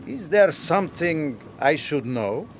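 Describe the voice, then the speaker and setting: An actor playing a dutiful, questioning ship's officer in an audiobook drama, formal and clipped. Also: male voice, on the high side, animated, slow pace, slight background noise. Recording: faint shipboard ambience behind.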